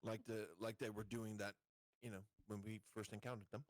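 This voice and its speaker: A man's voice: lowish voice, flat delivery, a little fast, dry recording. A man chatting casually as a player in a tabletop roleplaying game. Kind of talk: casual chat.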